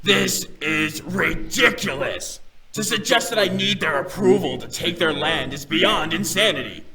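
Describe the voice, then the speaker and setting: A man's voice: on the high side, a little slow, distant mic, crowd noise. An actor playing a large, ruthless demon king in a visual novel, imperious, scornful and snarling. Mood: furious, imperious. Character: large, ruthless, arrogant male demon king, quick to anger. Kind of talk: visual novel game voice acting.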